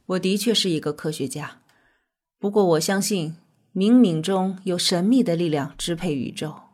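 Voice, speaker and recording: A woman playing a calm, earnest scientist, explaining in a measured way in an audio drama. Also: lowish voice, natural voice. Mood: calm, reverent. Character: calm, earnest female scientist with mystical faith.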